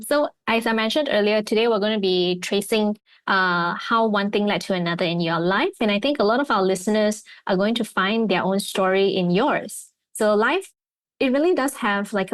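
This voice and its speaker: A woman's voice: mid-range voice, dry recording. A young woman hosting a podcast interview, chatty and friendly, with many fillers. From Malaysia. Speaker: podcast host. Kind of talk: casual interview chat.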